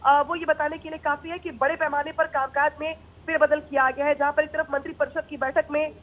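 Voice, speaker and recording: A woman news reporter giving a live report in an explanatory, halting way with repeated words. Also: high-pitched, level tone. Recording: tinny narrow phone line.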